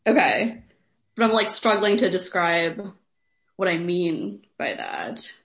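A woman's voice: fairly low pitch, very animated, slow, a bit bright. A woman co-hosting a podcast, casually discussing a story and tentatively searching for her words. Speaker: podcast co-host.

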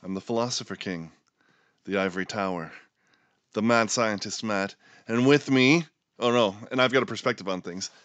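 A man's voice: lowish voice, normal speed, a bit bright, no echo. Guy cohosting a podcast, playful and chatty, dead dry sound. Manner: jokey, theatrical introductions. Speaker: podcast co-host. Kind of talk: casual political chat.

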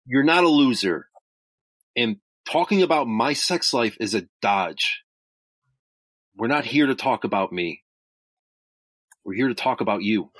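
A man playing an earnest, caring crisis hotline volunteer in an audio drama, speaking in a measured, hesitant, sincere way. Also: mid-range voice, lively intonation, a bit bright, no room sound. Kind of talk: naturalistic two-person audio drama acting.

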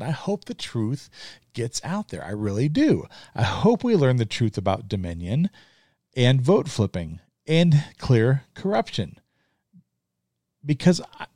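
A middle-aged man hosts his podcast in an earnest, conversational monologue, often pausing on ums and restarting his sentences. His voice sits at an ordinary middle pitch. The recording is dry and close, with no room sound. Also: darkish tone, no room sound. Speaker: podcast host. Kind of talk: casual monologue.

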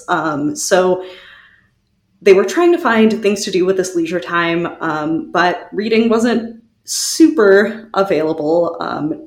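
A woman co-hosting an informal explanatory discussion, phrasing her thoughts in a measured, hesitant way with frequent pauses. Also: on the low side. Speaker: podcast co-host. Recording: roomy, echoey sound.

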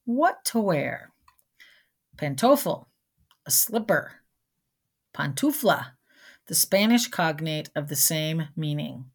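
A woman hosting a vocabulary lesson, reading scripted educational narration with carefully enunciated words and conversational asides. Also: lowish voice.

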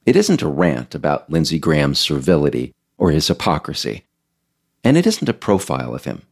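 A man hosting a podcast series, reading scripted narration in a measured, emphatic, explanatory way. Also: a bass voice, wildly swooping pitch, vocal fry, a little mellow. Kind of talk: scripted narration, audio essay read aloud.

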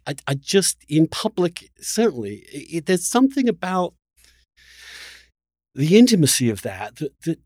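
A man's voice: on the high side, big pitch swings, normal speed, darkish tone, no echo. A middle-aged man talks as a podcast host in a spirited, casual discussion. He hesitates and circles back as he thinks aloud. The recording is close and dry, with very little room sound.

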